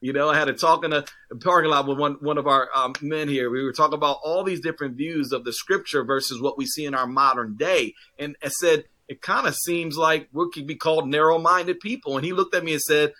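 A man's voice: fairly high pitch, brisk, sharp tone. A man, a pastor, talking earnestly in a casual discussion, with many fillers and restarts.

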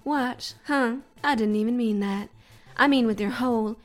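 A woman's voice: on the high side, normal speed, voice pushed up, lightly acted. A woman voicing a frightened young heroine who babbles nervously and pleads her way out of trouble. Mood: flustered. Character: flustered young woman caught in danger.

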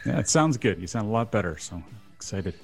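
A man's voice: fairly low pitch, expressive, a little fast, darkish tone, husky, soft background music. A Canadian man hosting a radio show, chatting casually and laughing often. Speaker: podcast host.

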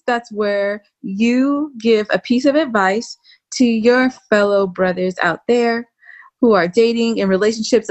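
A woman of middle pitch hosts a casual interview podcast. She teases her guest and laughs easily, keeping the talk light. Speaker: podcast host.

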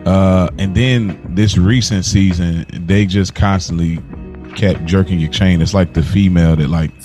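A man's voice: sits low, fairly even intonation, unhurried, warm tone, soft background music. An American man co-hosting a podcast, chatting casually about TV in slangy, opinionated, conversational language. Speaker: podcast host. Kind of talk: casual TV review chat.

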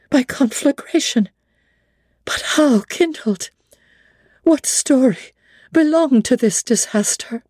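Slow, husky woman reading dramatically. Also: on the high side, warm tone, no room sound, clean studio sound. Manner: measured, theatrical, emotive. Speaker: narrator reading a classic novel.

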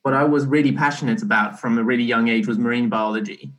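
A young man talking as a podcast guest in a relaxed, halting way, often restarting his sentences. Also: mid-range voice. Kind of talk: casual conversational interview.

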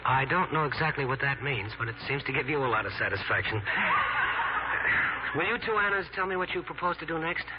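An actor playing a kindly, courteous American gentleman with a gentle, reassuring manner in a 1940s radio drama. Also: medium pitch, expressive, brisk, lightly acted. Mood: concerned. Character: kindly, solicitous plain-faced American gentleman. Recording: muffled, noisy 1940s radio broadcast.

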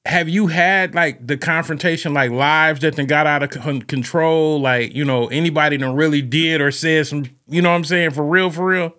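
A man's voice: on the high side, normal speed, hardly any pauses, sharp tone. A man from Ohio hosting a casual interview, talking loosely with lots of fillers. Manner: loose and rambling, many fillers. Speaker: podcast host.

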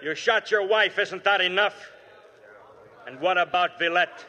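An actor playing a police inspector in a 1950s radio drama, speaking briskly and giving orders. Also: male voice, sits high, bright, forceful tone, full character voice. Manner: brisk, questioning, commanding. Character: firm, commanding male police inspector.

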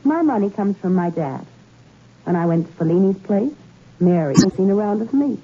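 An actress playing a cool, scheming femme fatale in a 1960s radio suspense drama, languid and matter-of-fact. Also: female voice, medium pitch, animated, normal speed, husky. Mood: cold, confessional. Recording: thin phone-like old radio recording.

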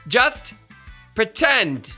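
A woman's voice: on the high side, big pitch swings, drawn-out words, bright, forceful tone, soft background music, exaggerated voice. A woman voicing a flamboyant, bossy, self-adoring radio star in a children's comedy audio drama, with a theatrical manner. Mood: exasperated. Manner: theatrical, exasperated, showy.

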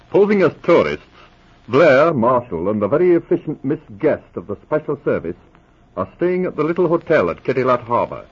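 A man's voice: medium pitch, slightly rough. A man announcing and narrating a scripted 1940s radio serial. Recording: dull, muffled old radio recording.

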